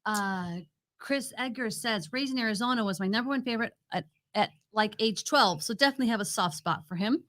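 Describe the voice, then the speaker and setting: A woman's voice: fairly high pitch, brisk, a bit bright. A woman co-host chatting casually and with firm opinions as she reviews entertainment. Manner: opinionated, conversational, argues her point. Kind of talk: casual film and book review chat.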